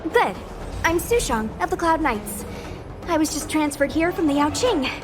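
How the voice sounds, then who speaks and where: Young female knight, anime game, very lively pitch, brisk, earnest, informal, loud music. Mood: brisk, concerned. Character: eager young female knight, earnest, bossy, a bit scatterbrained. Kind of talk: anime-style video game voice acting.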